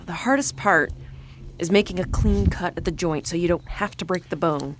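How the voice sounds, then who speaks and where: A woman's voice: lowish voice, normal speed, background noise, lightly acted. A woman playing a folksy, matter-of-fact killer who mentors a rookie in an audio drama. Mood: calm, folksy.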